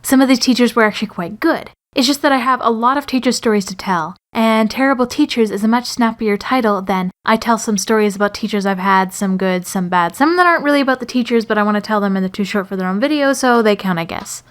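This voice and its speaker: Wry, higher-voiced woman storyteller. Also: fast, hardly any pauses. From Canada. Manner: wry, sarcastic, exaggerating. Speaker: storyteller and animator. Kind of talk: scripted comedic storytelling narration.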